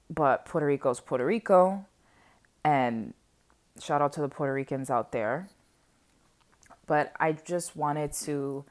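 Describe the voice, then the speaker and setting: A woman in her twenties talking casually and off the cuff to viewers, with an animated, digressive, conversational way of speaking. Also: a bass voice. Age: 20s. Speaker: vlogger sharing personal reflections on history. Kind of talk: casual unscripted chat to viewers.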